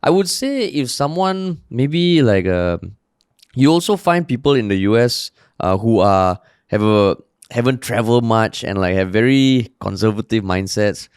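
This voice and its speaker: A man's voice: medium pitch, expressive, moderate pace, a little mellow, smooth, dry recording, clean studio sound. A young man with a Singaporean English accent, co-hosting a podcast and reading listener questions in a joking, casual way. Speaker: podcast co-host. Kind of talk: casual chat.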